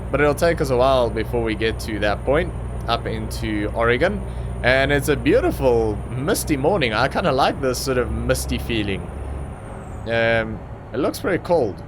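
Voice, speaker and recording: A man's voice: medium pitch, lively intonation, moderate pace, background noise. A young man from Africa, an Afrikaans speaker, rambling through casual commentary on a truck driving game with plenty of ums and restarts. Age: young adult. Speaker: gaming channel host.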